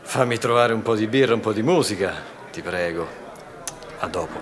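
A man's voice: fairly low pitch, moderate pace, crowd noise, close to an everyday voice. An actor playing a wry, take-charge male party host in a radio drama, exclamatory and commanding. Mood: jokingly commanding. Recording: music behind the voice.

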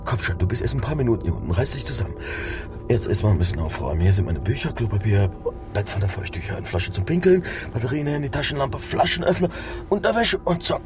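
A man acting in a German radio drama as a stubborn, anxious middle-aged widowed father and factory worker, halting and emotional. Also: medium pitch, lightly acted. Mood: panicky. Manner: halting, emotional, muttering to himself.

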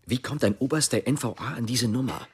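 An actor playing an earnest, uneasy policeman in a radio drama, with a husky voice. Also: medium pitch, a little fast, slight background noise, natural voice. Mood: wary. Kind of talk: naturalistic radio drama acting.

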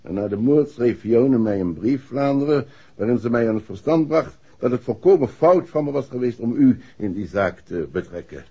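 A man's voice: mid-range voice, lively intonation, normal speed, warm tone, husky. An actor playing an indignant, stubborn upper-class father in a 1960s Dutch radio play, speaking curtly and formally. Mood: grave, confiding. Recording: dull, muffled vintage radio recording.

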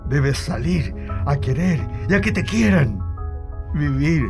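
A man's voice: a little high, animated, slow, gravelly, music in the background, full character voice. An actor playing a former political prisoner, narrating his captivity earnestly and emotively with a Chilean accent. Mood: impassioned. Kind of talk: dramatic scripted narration.

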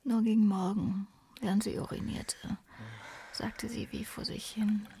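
A woman's voice: mid-range voice, flat delivery, a little slow, mellow, husky, no echo. A woman narrating a literary radio play as one of its storytellers.

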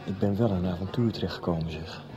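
An actor playing a calm, wry man who reassures others. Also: low voice, quick pace, lightly acted. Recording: lo-fi street scene with crowd.

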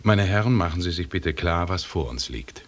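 An actor playing an authoritative expedition leader in a German radio drama, speaking in a formal, precise, lecturing manner with a husky voice. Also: male voice, sits low, lively intonation, normal speed. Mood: measured, commanding. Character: authoritative British expedition leader, pedantic and commanding.

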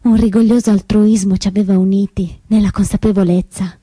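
Casting: woman playing a resolute survivor, soft dark tone, husky edge, solemn measured stage monologue. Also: medium pitch, steady tone, no room sound, natural voice. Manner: solemn, deliberate, broken phrasing. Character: grave female Auschwitz survivor recalling deportation, resolute. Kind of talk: theatrical testimony monologue.

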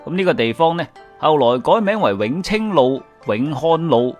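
A man with a Guangzhou Cantonese accent telling scripted folk stories in an expressive, colloquial, explanatory way. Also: on the high side, moderate pace, faint music behind. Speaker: storyteller and presenter of Cantonese folk sayings. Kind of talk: lively scripted storytelling narration.

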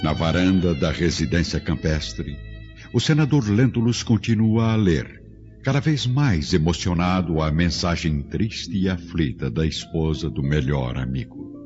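Very deep, expressive male narrator. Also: measured pace. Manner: solemn, literary. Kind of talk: scripted radio drama narration. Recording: muffled audio under music.

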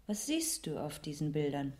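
An actress playing a pensive, insistent woman in a German radio crime drama, measured and probing in her brief replies. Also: sits low, sing-song, mellow, breathy edge, heavily acted. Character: pensive, insistent woman puzzling over a child's drawings.

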